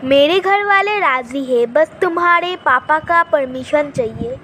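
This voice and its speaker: Woman acting very high, sweet young girlfriend. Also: expressive, unhurried, pushed and bright, a little hiss or hum, full character voice. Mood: loving. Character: adoring, coy young girlfriend, devoted and sweet. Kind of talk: dubbed cartoon story dialogue.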